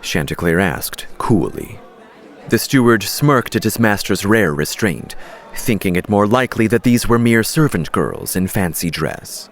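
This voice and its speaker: A man's voice: on the low side, expressive, unhurried. A man narrating a scripted story as an audiobook narrator.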